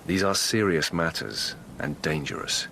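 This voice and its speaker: A man playing a probing, grave investigating lieutenant at an imperial court in a radio drama, speaking in a measured, serious way. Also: extremely low pitch, gravelly, bright, forceful tone, slight background noise, heavily acted. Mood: grave, cautioning. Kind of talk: 2000s radio drama acting.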